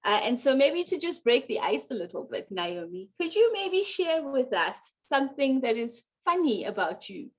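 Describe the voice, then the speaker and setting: A woman's voice: high voice, sing-song, normal speed, hardly any pauses, husky. A woman from South Africa moderating a panel discussion, with a chatty, complimentary way of talking.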